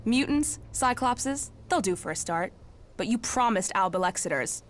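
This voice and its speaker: A woman's voice: a little high, expressive, normal speed, slight background noise, close to an everyday voice. An actress playing a brash, impatient, foul-mouthed woman warrior in a video game, blunt and combative. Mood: impatient, defiant. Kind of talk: video game cutscene acting.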